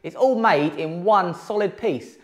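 A man's voice: high-pitched, a little slow. Upbeat English man touring his renovation. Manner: enthusiastic, upbeat. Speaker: home renovation vlogger. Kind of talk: casual walkthrough narration. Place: empty room in a house under renovation.

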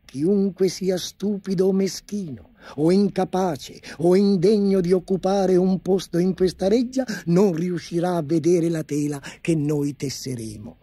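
A man voicing a smooth-talking swindler tailor who flatters slyly. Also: sits high, a little slow, hardly any pauses, no echo. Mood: confiding. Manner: sly, flattering.

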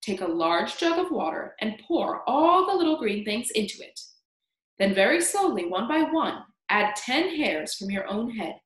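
Storybook old man, secretive and excitable, put on by a woman, lively swooping pitch, echoey room. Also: unhurried. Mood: urgent. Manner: hushed and then wildly urgent. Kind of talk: storybook reading.

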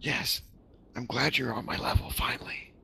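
A man's voice: medium pitch, fairly even intonation, normal speed, gravelly, sharp tone, slight background noise. A man whispering in voice chat while playing a space game at home at night, swearing casually with friends. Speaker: gamer in voice chat. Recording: game music behind.

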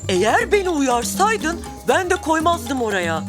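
Animated kids' show: timid elephant, high-pitched, fretful, big-hearted, music behind. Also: female voice, measured pace. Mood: upset. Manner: fretful, exaggerated, expressive. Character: timid, anxious, good-natured young cartoon elephant. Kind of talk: animated children's cartoon dialogue.

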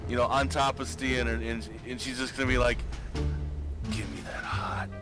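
Young guy, mid-pitched, crude teasing banter, game music under. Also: steady tone, normal speed. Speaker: gamer in voice chat. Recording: music behind voice chat.

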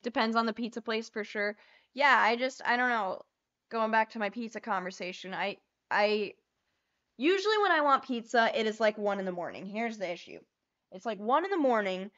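A young woman streams from a small bedroom, chatting loosely and swearing as she paints. Her voice sits at a middling pitch and is turning a little hoarse. The lapel-mic sound is a touch dull and lo-fi. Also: fairly bright tone. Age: young adult. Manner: casual, swears freely, chatty and rambling. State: getting hoarse. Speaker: livestream host. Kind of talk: casual chat while painting cards.

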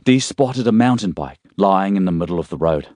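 A man reading a thriller novel as an audiobook narrator, in a measured, suspenseful storytelling way. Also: low voice, lively intonation, darkish tone, dry recording.

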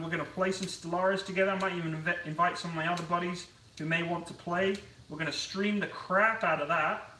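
High-voiced man rambling while cooking. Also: a bit bright, slight background noise. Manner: chatty, rambling, self-deprecating. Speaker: live streamer cooking and chatting. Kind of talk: casual live stream chat. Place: home kitchen. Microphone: camera on tripod across the kitchen. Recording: roomy, distant kitchen sound.

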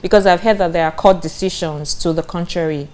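A woman's voice: lowish voice, steady tone, brisk, darkish tone, poor audio. A woman in her thirties with a Nigerian accent, presenting and interviewing for a television news programme. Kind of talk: scripted news narration and interview.

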